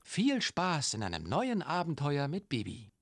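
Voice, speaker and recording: A man playing a friendly narrator who gives scripted instructions in a children's game with a husky voice. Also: on the high side, huge pitch swings, measured pace, no room sound, studio quality, full character voice. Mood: helpful.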